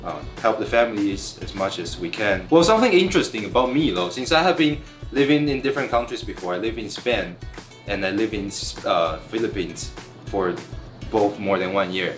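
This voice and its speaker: Guy in his 20s from taiwan, kinda low voice, halting answers, roomy echo and guitar behind. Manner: earnest, halting, with restarts. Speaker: university student interviewee. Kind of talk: casual interview answers.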